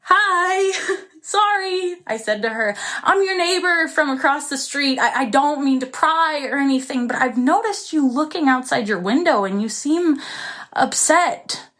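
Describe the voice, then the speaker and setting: Excitable, confiding young woman. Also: sits high, unhurried. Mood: bright, excited. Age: young adult. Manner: expressive, confiding, breaks into small laughs. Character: excitable, warm-hearted young woman living alone, telling her story. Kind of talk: dramatic first-person monologue.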